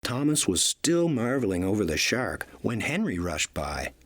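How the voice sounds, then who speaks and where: A man reading a children's read-along story as narrator, in an expressive storytelling style. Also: lowish voice, normal speed.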